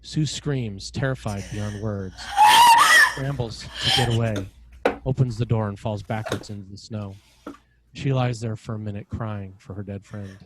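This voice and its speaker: A man narrating screenplay action and scene headings in a measured, dramatic way, in short phrases. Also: lowish voice, low-quality recording.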